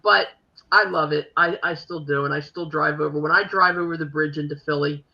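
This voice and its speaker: A woman's voice: deep voice, fairly even intonation, a little fast, sharp tone, dull audio. A woman with a Philadelphia accent hosting a podcast, chatting casually and rambling with many fillers. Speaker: podcast host. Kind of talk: casual chat.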